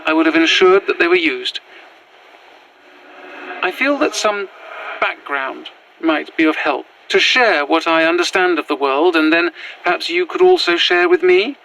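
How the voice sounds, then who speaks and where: A woman's voice: sits low, a bit bright, low-quality recording. A woman playing a prim Victorian widow revived in another's body, speaking formal old-fashioned in an audio drama. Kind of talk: found-footage audio drama monologue.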